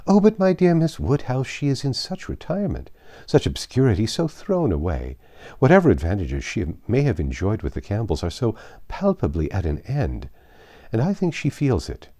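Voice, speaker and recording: A man's voice: lowish voice, huge pitch swings, normal speed, warm tone, pitched above the performer's own voice. A man voicing a pompous, gushing married society woman in a storybook reading, effusive, condescending and emphatic. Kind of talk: audiobook character reading.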